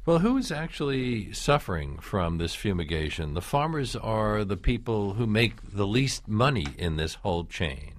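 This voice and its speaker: Calm radio interviewer, a man with a very low voice, dry booth sound. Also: no room sound. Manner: calm and inquisitive, slow with pauses.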